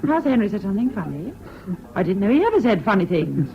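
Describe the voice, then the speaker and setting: Imperious, swooping English rich wife. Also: female voice, medium pitch, very animated, quick pace, gravelly, a little hiss or hum. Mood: mocking. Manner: bossy, arch, teasing. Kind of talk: 1970s British radio drama acting. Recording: dull old radio recording with crowd sounds.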